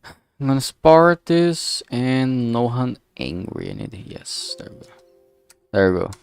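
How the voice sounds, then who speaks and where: A man thinking aloud in a halting way, chatting casually on a solo livestream as he works. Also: mid-range voice, very animated, gravelly, smooth, dry recording, faint music behind. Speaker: streamer making a visual novel. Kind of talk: casual solo livestream chat while working.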